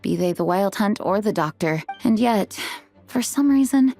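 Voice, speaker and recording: A woman playing a composed, dutiful knight commander in a video game, speaking in a measured, formal way. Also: medium pitch, lively intonation, warm tone, faint music behind, lightly acted. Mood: pensive. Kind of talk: video game voice acting, storytelling.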